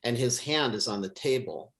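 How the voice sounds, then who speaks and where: A man reads scripture as a pastor would, in a solemn, measured and deliberate liturgical style. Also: mid-range voice, a bit bright.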